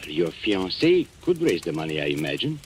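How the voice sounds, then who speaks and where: An old radio mystery recording with some hiss carries a suave villain. His voice sits fairly low and swings with theatrical relish. He is polite and smug, a menacing shopkeeper who taunts as he blackmails. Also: big pitch swings, normal speed. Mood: coolly menacing. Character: suave, menacing male antique-shop owner and blackmailer.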